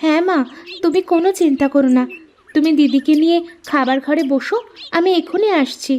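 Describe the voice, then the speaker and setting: Woman as a very high-voiced, meek little girl. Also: brisk, a little mellow, a little hiss or hum, close to an everyday voice. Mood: obedient. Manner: pleading, obedient. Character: meek, dutiful young stepdaughter, sweet and sorrowful. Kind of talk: cartoon voice acting. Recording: music behind the voice.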